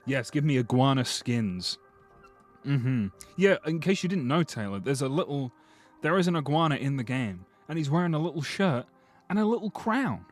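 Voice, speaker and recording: A man with a slightly hoarse voice, rambling and joking freely as he chats through a livestream. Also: medium pitch, very animated, normal speed, faint music behind. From Britain. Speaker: streamer. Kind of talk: casual livestream commentary.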